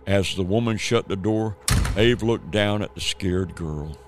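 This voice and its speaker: A man's voice: on the low side, unhurried, noisy background. A man narrating a scripted radio drama as its storyteller.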